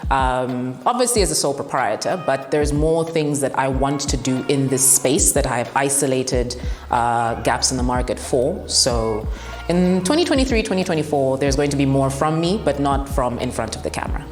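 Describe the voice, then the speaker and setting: A young woman with a very deep, commanding voice speaks frankly, laughing between answers. The room is echoey, with a long reverb tail and faint music behind her. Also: expressive, normal speed, a little mellow. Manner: blunt, laughs often. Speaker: broadcast journalist and TV presenter. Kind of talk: interview answers, relaxed and frank.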